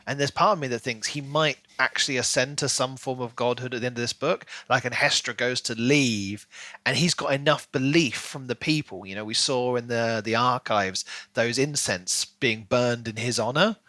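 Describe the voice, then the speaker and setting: A man's voice: mid-range voice, normal speed, sharp tone, no room sound. A man co-hosting a podcast, talking casually through a book and acting out imagined bits of dialogue as he explains. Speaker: podcast co-host.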